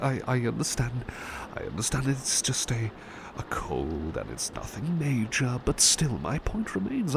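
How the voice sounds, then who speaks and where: Man playing a slow, gravelly, devoted butler. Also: medium pitch, noisy background. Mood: fussing, concerned. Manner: hesitant, polite, repeats himself reassuringly. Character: devoted, fussing, gentle male butler caring for employer. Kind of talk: soothing ASMR roleplay.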